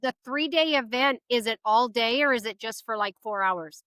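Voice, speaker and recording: A woman's voice: a little high, moderate pace, sharp tone, clean tone, dry recording. A woman hosting a casual interview podcast in an effusive, encouraging way. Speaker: podcast host.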